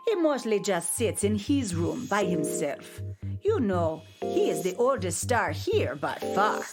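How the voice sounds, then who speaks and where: A woman voicing a kindly nursing home caretaker who talks in a gentle, motherly, folksy way. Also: medium pitch, very animated, measured pace, music in the background. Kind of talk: audio drama acting.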